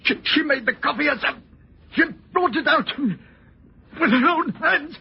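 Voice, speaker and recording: A man's voice: very high-pitched, animated, unhurried, gravelly, exaggerated voice. An actor playing a snappish, desperate middle-aged uncle in an old radio drama, blustering as he speaks. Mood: panicked protest. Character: gruff, excitable, desperate uncle. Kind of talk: 1940s radio drama acting. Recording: muffled old radio recording.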